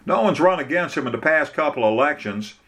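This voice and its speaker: A man's voice: on the high side, expressive, fast talker, fairly bright tone, voice pushed up. A man reading a story, voicing a folksy, blunt Southern lawyer who talks in an emphatic, colloquial way. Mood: blunt, confiding.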